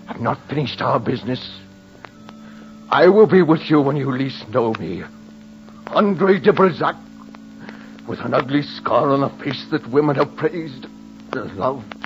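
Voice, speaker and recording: A dull vintage radio recording with faint music holds a proud young noble duelist bent on vengeance. He speaks in a mid-pitched voice with florid, deliberate phrasing, in full old-time melodrama style. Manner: theatrical, deliberate, florid diction. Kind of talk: 1940s-50s radio horror drama acting.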